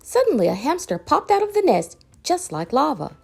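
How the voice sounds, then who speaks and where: A woman narrates a children's picture book. Her delivery is very animated, with pitch that rises and falls for dramatic emphasis. Music accompanies the reading. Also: normal speed, gravelly. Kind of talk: expressive storybook read-aloud.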